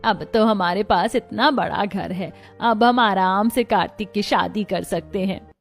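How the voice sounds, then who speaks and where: A woman's voice: medium pitch, unhurried, vocal fry, soft background music. A woman voicing a household woman in an acted family cartoon story. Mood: earnest. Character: women of a cartoon family, mother-in-law and daughter-in-law.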